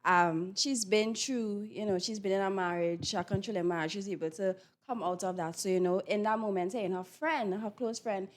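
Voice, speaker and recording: Candid Trinidadian woman, mid-pitched voice. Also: fairly even intonation, normal speed, hardly any pauses, a little hiss or hum. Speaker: actress being interviewed. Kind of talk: casual, candid interview talk.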